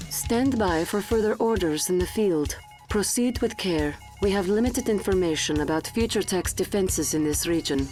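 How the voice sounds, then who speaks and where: A woman's voice: lowish voice, a little mellow, lightly acted. A woman playing a formal military intelligence officer giving mission briefings. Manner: crisp, formal, matter-of-fact.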